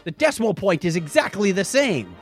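A man's voice: high-pitched, sing-song, fairly quick, faint music behind. A man co-hosting a podcast in casual, comedic banter, with a joking, playful manner. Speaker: podcast co-host.

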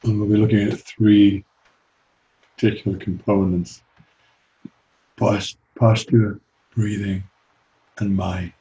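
A man leading a guided meditation as a teacher, speaking calmly and gently with long pauses. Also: fairly low pitch, lo-fi sound, slight background noise.

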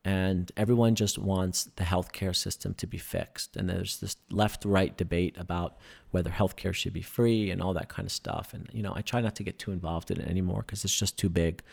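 A man with a low, gravelly voice, talking eagerly on a podcast. He explains things at length, with plenty of ums and uhs. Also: fairly even intonation, fairly quick, hardly any pauses. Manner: enthusiastic, explanatory, many fillers. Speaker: podcast guest, breathing workshop instructor. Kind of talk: casual podcast conversation.

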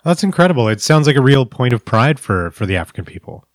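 Male host, mid-pitched, reflective and halting, dry close mic. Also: big pitch swings, no room sound. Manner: thoughtful, hesitant, with self-corrections. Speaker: host, interviewer. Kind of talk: casual conversational interview.

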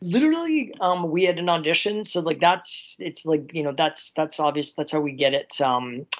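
A man's voice: on the high side, expressive, normal speed. A middle-aged man with a Canadian accent talks in an effusive, rambling way, like a guest in a casual interview. His sentences loop and restart with plenty of conversational filler. The audio is slightly dull and lo-fi. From small town near Vancouver, Canada. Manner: effusive, rambling, frequent "you know".

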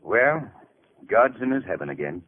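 An actor playing an urbane, self-assured gentleman detective, articulate and crisp, in a 1940s radio drama on a tinny, hissy recording. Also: fairly low pitch, sing-song, moderate pace. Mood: wry.

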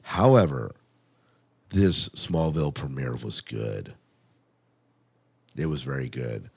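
A man's voice: very deep voice, expressive, slow pace, gravelly, breathy edge. A man co-hosting a podcast, casually reviewing TV in an enthusiastic, conversational way and repeating phrases for emphasis. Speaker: podcast co-host.

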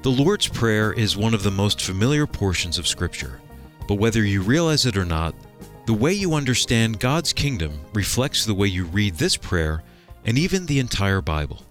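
A man co-hosting a radio program, reading scripted introductions and news in a polished, measured announcer style. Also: fairly low pitch, big pitch swings, fairly bright tone, faint music behind.

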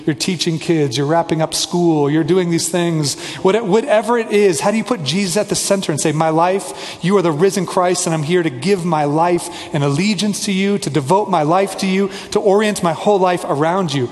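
A man's voice: high voice, normal speed, few pauses, big-room sound. A man preaching a sermon as pastor, earnest and conversational, with playful asides.

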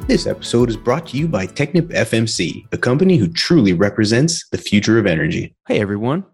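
A middle-aged man from Canada hosts a podcast over a video call. His voice sits on the low side, and he talks in an upbeat, chatty way that drifts into rambling asides. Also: big pitch swings, fairly quick, darkish tone, soft background music. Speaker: podcast host. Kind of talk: casual interview chat.

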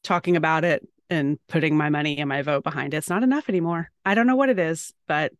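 Female podcast co-host, lower register, close dry sound, conversational delivery. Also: fast talker, no echo.

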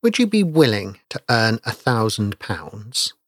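A man voicing a doomed, pale stranger in a ghost story, speaking low, hushed and hollow. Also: very animated, measured pace, dry recording, studio quality. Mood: grave, hushed.

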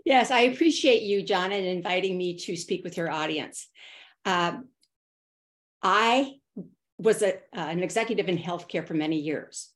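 A middle-aged woman who is a guest on a podcast. She tells personal stories in an animated way and acts out her own quoted thoughts. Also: fairly low pitch, normal speed. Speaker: podcast guest, consultant and author. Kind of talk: personal storytelling in an interview.

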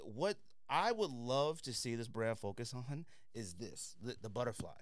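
A man's voice: mid-range voice, expressive, normal speed, dry recording, clean studio sound. A man hosting a podcast, giving casual sneaker reviews in an opinionated, slangy way and repeating points for emphasis. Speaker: podcast host.

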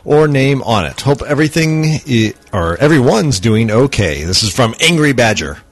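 A man co-hosting a casual podcast in an animated, jokey way, chatting and reading listener emails. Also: medium pitch, moderate pace. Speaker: podcast co-host.

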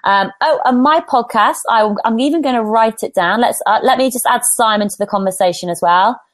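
A woman's voice: on the high side, a little fast, dry recording. Upbeat woman hosting, dry sound. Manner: enthusiastic, upbeat, promotional. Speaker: host of an online podcasting conversation. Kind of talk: live online chat hosting.

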